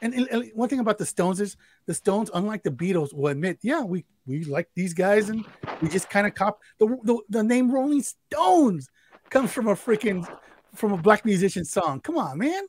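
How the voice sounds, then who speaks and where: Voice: medium pitch, moderate pace. Ranting podcast voice with faint noise. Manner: animated, stumbling repeats while ranting. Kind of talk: casual podcast chat.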